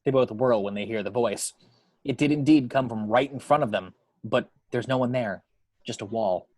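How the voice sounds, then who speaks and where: A man narrates the action lines of a screenplay. His voice is medium in pitch and recorded dry, without room sound. He tells it in a measured way that builds suspense. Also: darkish tone, dry recording. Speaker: narrator reading action description.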